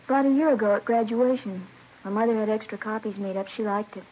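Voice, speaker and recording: A woman playing a casual, cooperative young college graduate in a 1950s radio drama, plainspoken and unhurried. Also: medium pitch, lightly acted. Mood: offhand, thoughtful. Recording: muffled, noisy vintage radio recording.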